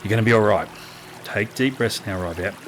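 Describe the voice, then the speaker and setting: Seasoned male rogue chief voiced by an actor: low, measured, protective, reassuring. Fantasy audio drama with music underneath. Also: lightly acted. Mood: concerned, apologetic. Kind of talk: full-cast fantasy audio drama acting.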